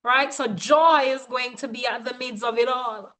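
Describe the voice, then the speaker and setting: Woman doing a motivational coaching talk, highish voice, emphatic, keeps checking you're following. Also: normal speed, bright, forceful tone. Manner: emphatic, often checks in with "right?".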